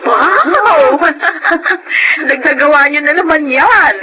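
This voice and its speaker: A woman's voice: high voice, lively intonation, very slow, heavy background noise, full character voice. A woman playing a chatty, friendly, admiring neighbour in a Filipino radio drama, gushing with a Filipino accent. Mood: admiring, eager. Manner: gushing, exclamatory.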